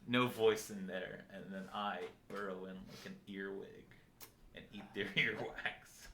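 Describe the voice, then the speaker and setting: Loud, low-voiced man rambling casually. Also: steady tone, a little slow, a little hiss or hum. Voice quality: loud, booming voice. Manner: rambling, self-mocking, crude humor. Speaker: podcast host. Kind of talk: casual joking podcast chat.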